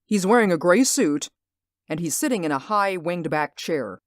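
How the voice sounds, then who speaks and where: A woman's voice: on the low side, lively intonation, normal speed, no echo, studio quality, lightly acted. A woman playing an anxious, insistent man in a radio drama, speaking earnestly and emphatically. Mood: earnest, uneasy. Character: anxious, insistent male stage actor spying on neighbours.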